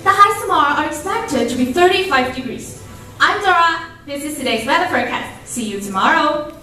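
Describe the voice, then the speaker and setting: A young woman acting as a female weather forecaster delivers a scripted bulletin. Her voice is bright and high, with lively intonation, ringing through a very echoey hall. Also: a little fast, soft background music. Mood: bright, presentational. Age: young adult. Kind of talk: student radio drama, scripted reading. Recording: very echoey hall, distant mic.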